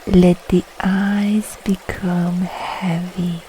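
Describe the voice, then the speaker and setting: A woman guiding a yoga nidra relaxation meditation, speaking in soft, soothing, unhurried short phrases with long pauses. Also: on the low side, flat delivery, slight background noise, poor audio. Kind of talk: slow guided relaxation meditation.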